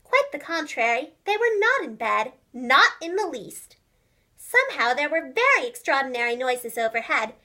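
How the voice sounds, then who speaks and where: A woman telling a children's storybook tale expressively and clearly, as if to young children. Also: sits high, pushed and bright. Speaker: storyteller, preschool teacher and voice actress. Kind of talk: animated children's storybook narration.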